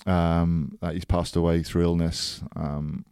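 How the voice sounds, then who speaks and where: A man's voice: deep voice, little pitch movement, takes it slowly, mellow, smooth, no room sound, clean studio sound. A man co-hosting a casual sports podcast, rambling with frequent ums and getting enthusiastic about rugby. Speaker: podcast co-host.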